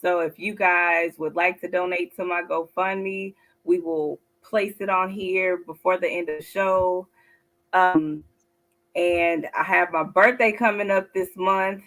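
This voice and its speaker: A woman co-hosting a casual sports talk show, chatty and quick to laugh. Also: on the low side, fairly even intonation, a bit bright. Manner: chatty, laughs often, drawn-out asides. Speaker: co-host.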